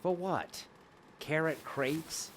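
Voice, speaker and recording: An actor playing a harried, evasive stage magician and father in an audio drama, hesitant, placating and sometimes sarcastic, with a gravelly voice. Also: on the high side, drawn-out words, fairly bright tone, a little hiss or hum. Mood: sardonic.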